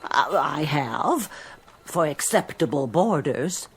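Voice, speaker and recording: An actress playing a brisk, fussy, proper boarding-house landlady of an earlier century in a radio drama, formal and inquisitive. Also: low voice, big pitch swings, full character voice.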